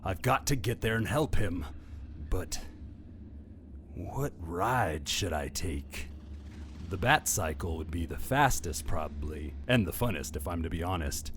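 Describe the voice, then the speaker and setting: A man's voice: deep voice, animated, unhurried, a bit bright, a little hiss or hum. A man voicing a dithering male caped crusader in a comedy podcast sketch, deliberating aloud. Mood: musing.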